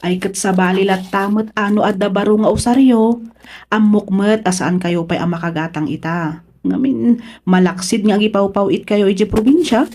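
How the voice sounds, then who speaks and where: A woman's voice: medium pitch, normal speed, warm tone, slight background noise, natural voice. A woman with an Ilocano accent playing a generous, encouraging middle-aged aunt from the city in a radio drama. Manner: reassuring, encouraging.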